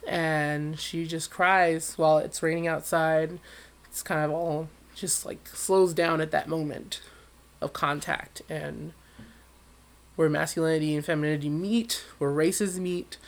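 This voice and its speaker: A man who sings professionally, talking as an interview guest with a high voice and an animated, storytelling manner. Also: measured pace, creaky. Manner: animated storyteller, acts out quotes with big leaps in pitch. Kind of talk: conversational interview.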